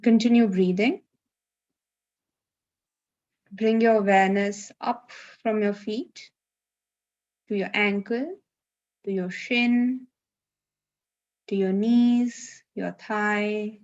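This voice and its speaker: Slow-paced woman guiding a meditation. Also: mid-range voice, steady tone, highs cut off. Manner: gentle, unhurried instruction with long pauses. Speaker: meditation guide. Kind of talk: live guided meditation. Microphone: video call.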